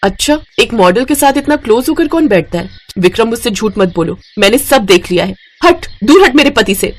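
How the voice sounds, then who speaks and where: A woman's voice: on the high side, expressive, fairly quick, pitched above the performer's own voice. A woman voicing a jealous, determined young wife in a family drama story, speaking with emotional indignation. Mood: accusing.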